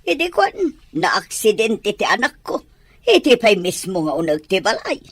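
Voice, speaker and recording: Woman acting as wealthy Filipina mother, middle-aged, very animated pitch, complaining, dismissive, dry close sound, audio drama. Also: normal speed, slightly rough, no echo. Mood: distressed, gossipy.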